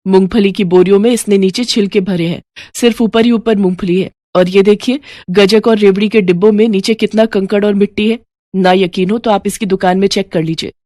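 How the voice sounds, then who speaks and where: A woman's voice: mid-range voice, fairly even intonation, fairly quick, mellow, no room sound, clean studio sound, natural voice. A woman voicing a poor, hardworking, defiant mother who sells sweets, outspoken and firm, in a moral story. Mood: earnest pleading. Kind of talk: moral-story cartoon acting. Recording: music bed behind the voice.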